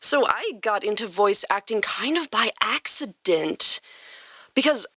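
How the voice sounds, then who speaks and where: A woman's voice: mid-range voice, lively intonation, measured pace, a bit bright, no echo. A woman hosting a podcast in casual, playful chat, recorded in a studio. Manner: informal, playful banter. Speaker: podcast host and voice actor.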